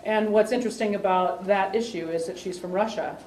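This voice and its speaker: A woman's voice: mid-range voice, a little mellow. Woman presenter at a community meeting, rambling, heard from a distant mic in a roomy space. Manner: rambling, halting with fillers and restarts. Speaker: exchange program coordinator. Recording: roomy sound from a distant mic, faint hiss.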